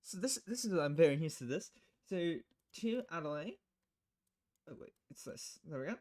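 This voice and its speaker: A woman's voice: sits low, expressive, moderate pace, darkish tone. A woman chatting casually as she streams a game live. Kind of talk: casual live gaming chat.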